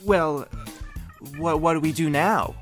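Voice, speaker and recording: A man voicing a flustered, excitable young space hero with a cracking, squeaky voice, stammering and exclaiming in a comedic fan fiction reading. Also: slow, faint music behind. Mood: nervous, awkward.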